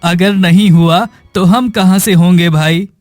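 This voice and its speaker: A man's voice: sits high, steady tone, measured pace, a little mellow, no echo, soft background music. A man voicing a poor, humble and devout village labourer in a cartoon, meek and earnest.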